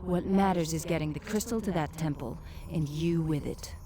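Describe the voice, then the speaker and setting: A woman's voice: deep voice, normal speed, noisy background. An actress playing a sly, cynical female spirit guide who teases and commands in a fantasy video game. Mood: teasing, cool. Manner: teasing, cool, bossy.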